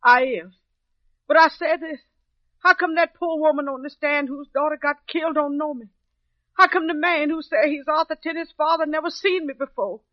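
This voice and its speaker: An actress as defiant high-voiced country mother. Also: normal speed, no echo, lightly acted. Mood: defiant, insistent. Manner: folksy, ungrammatical, insistent rhetorical questions. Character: defiant plainspoken mother testifying in court for her son. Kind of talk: 1950s radio drama acting. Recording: dull old radio recording.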